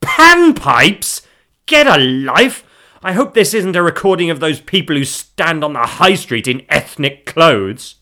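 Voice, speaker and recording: A man's voice: medium pitch, sing-song, normal speed, fairly bright tone. An exasperated wife and mother as a male narrator plays her in a comic story. She scolds with sarcasm, her voice jumping up and down. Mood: indignant.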